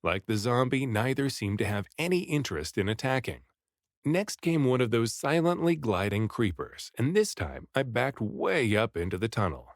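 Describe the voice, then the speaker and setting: Male audiobook narrator, highly varied pitch, dramatic delivery, very dry studio-like sound. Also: moderate pace, no room sound. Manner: dramatic, animated delivery with acted dialogue. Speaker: read-aloud narrator and voice actor. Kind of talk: expressive first-person audiobook storytelling.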